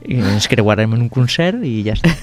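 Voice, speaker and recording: A man from Valencia with a Valencian accent, a singer chatting in an interview in a relaxed way. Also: medium pitch, normal speed. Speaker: singer, guest. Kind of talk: radio interview.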